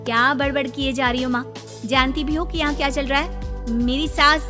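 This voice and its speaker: A snappy, high-voiced young daughter-in-law, as a woman puts her on in a cartoon, forever complaining and quick to flare up. Her voice rings out with a long hall echo behind it. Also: steady tone, music in the background, close to an everyday voice. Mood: exasperated. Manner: snappy, complaining, shrill outbursts.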